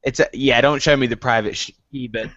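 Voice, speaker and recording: Eager man pitching ideas haltingly. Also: fairly even intonation, dull audio, over a noisy crowd. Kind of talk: casual live-stream chat.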